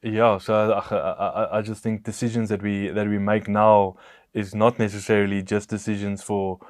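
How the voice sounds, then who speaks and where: Man, low voice, flat delivery, halting, lots of restarts, dry close sound. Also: no echo. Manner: halting, hesitant, many restarts. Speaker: podcast co-host.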